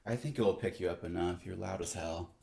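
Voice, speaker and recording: A soft-spoken man co-hosting a casual podcast. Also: low voice, a little fast, creaky. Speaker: podcast co-host. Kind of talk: casual chat. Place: home room, live-streaming.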